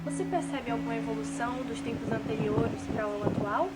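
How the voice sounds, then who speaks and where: A woman's voice: fairly high pitch, a little fast. A young woman with a Brazilian accent reading scripted documentary material in a formal manner. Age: young adult. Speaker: documentary narrator and interviewer. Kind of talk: scripted narration. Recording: distant mic under loud guitar music.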